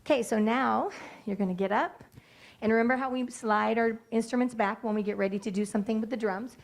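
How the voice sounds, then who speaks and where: A woman's voice: fairly high pitch, fairly even intonation, creaky. A woman music teacher explaining a piece and directing her students in a meeting room. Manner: brisk, directive teacher talk. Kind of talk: classroom instruction to students. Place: school board meeting room.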